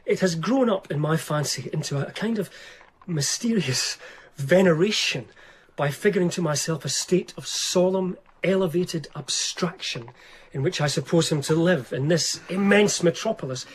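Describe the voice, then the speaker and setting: An actor playing an eager, reverent young gentleman diarist in a radio drama, speaking formally and effusively. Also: on the high side, moderate pace, a little hiss or hum, natural voice. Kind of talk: radio drama dialogue and diary narration.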